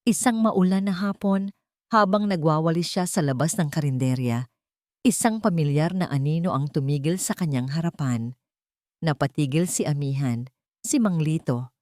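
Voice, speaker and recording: A Filipino woman narrates a scripted romantic drama. Her voice sits on the low side for a woman, and the sound is dry, with hardly any room around it. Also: moderate pace, darkish tone, no room sound. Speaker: story narrator.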